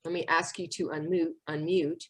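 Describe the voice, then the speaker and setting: Lady host on a video call, kinda low voice, friendly and encouraging. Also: flat delivery, normal speed. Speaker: host of a notary Q&A webinar. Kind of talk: casual moderating on a video call.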